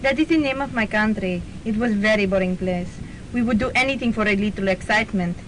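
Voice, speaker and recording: A woman's voice: brisk, close to an everyday voice, rough recording. A woman playing a naive, earnest young assistant with a Spanish accent in a radio comedy. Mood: chatty, earnest. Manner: literal, earnest, foreign phrasing. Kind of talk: student radio comedy drama acting. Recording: hissy, rumbling student radio recording.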